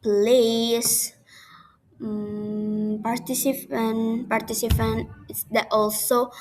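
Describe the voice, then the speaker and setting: A young woman speaks English with an Indonesian accent, pausing and restarting as she works through a class presentation. Her voice sits a little high, and she talks slowly and carefully. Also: rough recording. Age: young adult. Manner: hesitant, halting non-native English with restarts. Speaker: student giving a class presentation.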